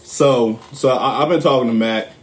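Excitable man in fast, filler-heavy sports banter. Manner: excitable, many fillers and restarts, animated pitch jumps. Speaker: podcast co-host. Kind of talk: casual sports chat.

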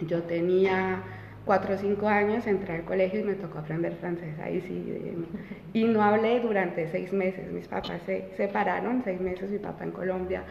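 A woman's voice: lowish voice, fairly even intonation, moderate pace, creaky, a little hiss or hum. A woman in her twenties, an interview guest telling her own story casually, with many fillers and restarts. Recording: roomy, reverberant, dull sound.

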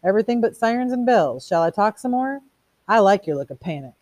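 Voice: mid-range voice, animated, brisk, darkish tone, no room sound, pitched above the performer's own voice, close to an everyday voice. A reader voicing a mocking, erudite male fire captain who declaims quotations theatrically in a storybook reading. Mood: gloating. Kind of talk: audiobook character reading.

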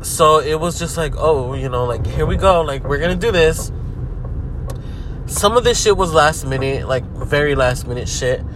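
A man of twenty-five talks while driving, loose and rambling with plenty of swearing and fillers. He is a little sick, which makes his voice sit deeper than usual, though it still sits somewhat high for a man. The car's road noise rumbles clearly behind him. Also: normal speed. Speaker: podcast host. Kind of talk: casual talking-to-camera vlog. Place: inside a car while driving. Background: car road noise.

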